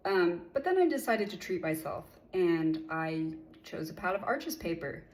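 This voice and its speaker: A woman's voice: on the low side, lively intonation, unhurried, poor audio. A young woman from California with an American accent, an artist giving a friendly, conversational sponsored testimonial with occasional fillers.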